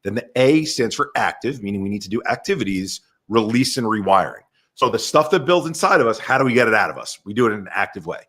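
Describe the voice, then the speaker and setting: A man talking as a podcast guest in an animated, energetic way over a video call. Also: on the low side, quick pace, breathy edge. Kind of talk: casual conversation.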